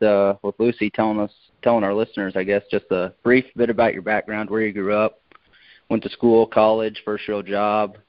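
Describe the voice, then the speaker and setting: Male interview host, casual, on the low side, close dry sound with dull lo-fi band. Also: no echo. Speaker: podcast host. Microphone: remote call. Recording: dull, lo-fi call audio.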